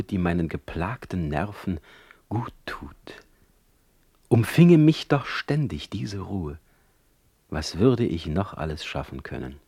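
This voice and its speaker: Radio play: embattled poet in exile, lofty and vehement, widely swinging delivery, close dry sound. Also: male voice, on the low side, darkish tone, slightly rough, no echo, full character voice. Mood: weary, brooding. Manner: declamatory, lofty literary diction. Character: Heinrich Heine, proud, embattled exiled poet, brooding and vehement. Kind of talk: German radio play acting.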